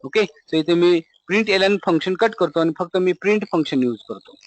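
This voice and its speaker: A man's voice: sits high, animated, brisk, poor audio. An Indian-accented man teaching a live programming class, explaining step by step and checking back with questions. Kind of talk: live classroom lesson with screen demonstration.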